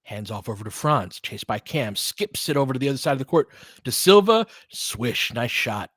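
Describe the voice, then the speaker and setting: A man's voice: medium pitch, very animated, normal speed, a bit bright, no room sound, studio quality. A man calling a basketball game live in clipped phrases, with casual, fan-like asides between the plays. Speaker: basketball play-by-play commentator.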